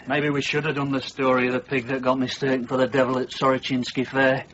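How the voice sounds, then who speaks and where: Actors playing men in a tavern who take turns telling a tale in an easy, conversational way in a radio play. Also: male voice, medium pitch, a bit bright, a little hiss or hum, close to an everyday voice. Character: chatty male tavern storytellers. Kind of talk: radio drama narration. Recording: muffled lo-fi radio recording.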